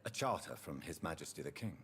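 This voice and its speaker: An actor playing a wary doctor newly turned vampire in a video game, terse and inquisitive. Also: male voice, a bass voice, animated, fast talker, fairly bright tone, full character voice. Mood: serious. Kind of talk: scripted video game dialogue.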